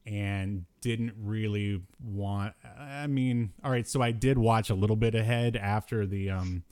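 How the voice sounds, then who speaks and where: A man hosting a podcast, chatting casually about old cartoons in a halting, hesitant way. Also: lowish voice, a little mellow, clear, resonant tone. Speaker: podcast host.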